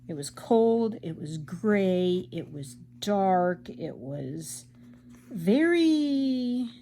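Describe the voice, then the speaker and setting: An older woman chatting casually and rambling as she embroiders at home, self-deprecating, with a loud projecting voice. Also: mid-range voice, very animated, drawn-out words, slight background noise. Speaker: craft vlogger. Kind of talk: casual chat while embroidering.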